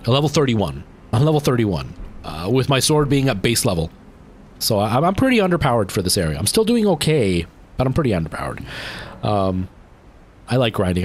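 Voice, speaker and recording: A man's voice: fairly low pitch, slight background noise. Chatty young man streamer, rambling. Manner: chatty, rambling, many fillers and restarts. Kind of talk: casual chat ending a game stream.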